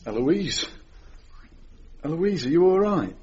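Man playing a high-voiced, fretful British everyman. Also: very animated, slow pace, a little mellow. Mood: concerned. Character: fretful, good-natured British everyman helping with decorating. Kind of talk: comedy audio drama acting. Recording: dull, slightly muffled recording.

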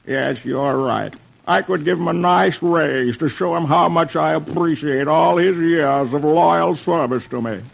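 A man voicing a blustering, self-pleased middle-aged boss from a comic strip, slowly and emphatically, in an old-time radio reading. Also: fairly high pitch, gravelly. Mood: remorseful. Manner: slow, emphatic, drawn-out delivery. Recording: dull old radio recording, faint noise.

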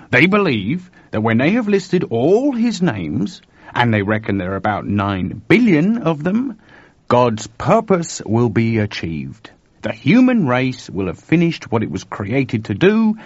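Narrator guy doing a worried excitable engineer, husky, pitched up, talks urgent, muffled audiobook sound. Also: unhurried, no room sound. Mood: animated, confiding. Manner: urgent, animated, colloquial. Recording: dull lo-fi dry recording.

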